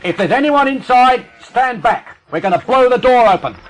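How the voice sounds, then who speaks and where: A man playing a commanding army officer in a radio drama, calling out orders loudly. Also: extremely high pitch, expressive, noisy background. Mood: commanding, urgent. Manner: loud, drawn-out calling.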